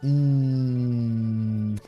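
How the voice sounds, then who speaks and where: A man with a rough, hoarse voice, chatting casually on a livestream and rambling with frequent restarts. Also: medium pitch, steady tone, very slow, dull audio, soft background music. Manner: rambling, restarts mid-sentence. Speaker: livestream host.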